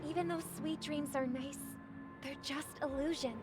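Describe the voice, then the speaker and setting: A woman playing an earnest, gentle young lady in a video game, pensive and measured as she questions things. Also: high voice, fairly even intonation, natural voice. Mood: troubled, pensive. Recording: music under the voice.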